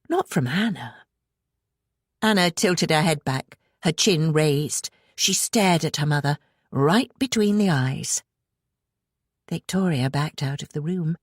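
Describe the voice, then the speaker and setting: A woman's voice: deep voice, slightly rough, no room sound, studio quality. A woman reading a suspense audiobook as narrator, telling the story expressively and dramatically. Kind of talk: scripted suspense fiction narration.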